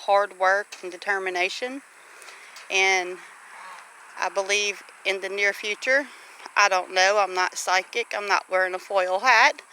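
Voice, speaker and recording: Bright-voiced middle-aged woman, folksy and encouraging. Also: mid-range voice, a little hiss or hum, poor audio. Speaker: homesteader vlogger. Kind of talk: casual chat to camera about homesteading.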